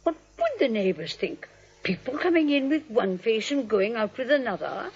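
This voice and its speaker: An actress playing a genteel elderly aunt, fussy and politely insistent, in 1940s radio comedy style. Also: female voice, fairly high pitch, very animated, normal speed, darkish tone, breathy edge, full character voice. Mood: sweetly firm. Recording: dull old radio recording.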